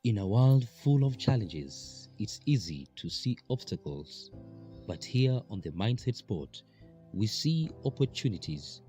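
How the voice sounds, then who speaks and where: A man reading a scripted show promo in a slow, deliberate, inspirational way. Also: on the low side, big pitch swings, warm tone. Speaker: show announcer. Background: music.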